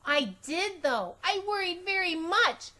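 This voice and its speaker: A woman reading a children's book aloud as a storytime reader, expressive and deliberate, telling it in a childlike first person. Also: very high-pitched.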